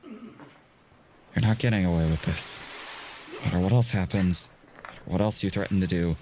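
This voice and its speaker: A man's voice: low-pitched, normal speed, darkish tone, a little hiss or hum, close to an everyday voice. An actor playing a hot-headed young male hostage in an audio drama, urgent, stammering and defiant. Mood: bitter defiance.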